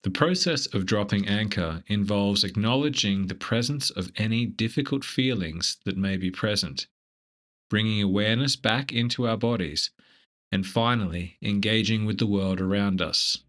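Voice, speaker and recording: A man leading a scripted guided meditation in a gentle, measured, instructive manner. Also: fairly low pitch.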